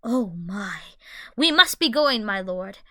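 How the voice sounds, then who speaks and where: Woman playing polite, bright-voiced male angel attendant. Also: mid-range voice, sing-song, slow, no echo, studio quality, heavily acted. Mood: courteous.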